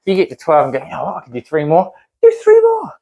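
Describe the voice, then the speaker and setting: A man's voice: a little high, huge pitch swings, fast talker, creaky, highs cut off. Upbeat Kiwi man coaching a workout. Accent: New Zealand. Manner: encouraging, chatty, counts reps aloud while exercising. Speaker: fitness instructor. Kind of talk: workout demonstration, counting reps.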